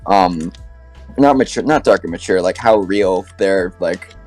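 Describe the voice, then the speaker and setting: A man's voice: low voice, a little slow, a little mellow, faint music behind. A man chatting casually as a podcast panelist, rambling and opinionated about fan topics.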